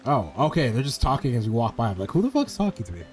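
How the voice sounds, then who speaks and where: A man's voice: mid-range voice, big pitch swings, fast talker, darkish tone, soft background music. A man streaming a video game with casual commentary, rambling and swearing as he thinks aloud.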